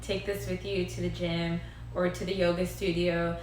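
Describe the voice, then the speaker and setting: A young woman hosts a live stream in a chatty, upbeat way, filling her talk with "like" and "um." Her voice is slightly low. The sound carries a heavy room echo with a faint hiss behind it. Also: little pitch movement, normal speed, darkish tone. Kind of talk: casual live-stream chat. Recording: very echoey room, faint noise.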